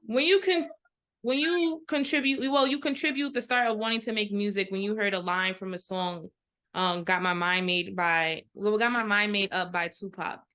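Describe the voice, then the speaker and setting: A woman's voice: mid-range voice, steady tone, normal speed, sharp tone. A woman hosting an interview, asking questions and affirming often with short agreements. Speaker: interview host.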